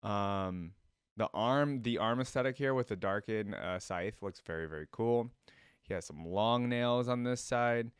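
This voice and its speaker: A man's voice: lowish voice, unhurried, smooth, no room sound, studio quality. A man giving casual, informal reaction commentary and chatting to his viewers. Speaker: reaction video host. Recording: music behind the voice. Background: game trailer music.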